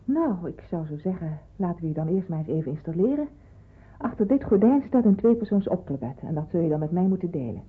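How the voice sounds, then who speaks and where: An actress playing a practical nurse in wartime hiding in a radio drama, with a brisk, organising manner. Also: female voice, on the low side, sing-song, breathy edge. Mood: friendly, confiding. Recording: muffled, phone-like radio play recording.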